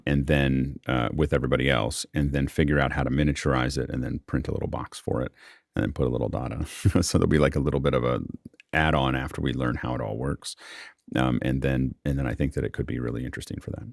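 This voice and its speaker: A man's voice: rumbling low, fairly even intonation, fast, vocal fry, no room sound, studio quality. A man hosting a casual tech question-and-answer panel, explaining things informally. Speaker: host and moderator of a panel.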